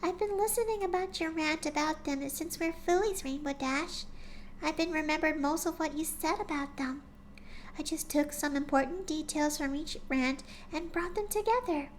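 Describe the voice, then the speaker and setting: A woman voicing a shy, gentle young female pegasus pony who speaks softly. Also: high voice, moderate pace, a little hiss or hum, voice pushed up, close to an everyday voice. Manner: soft, quiet and gentle.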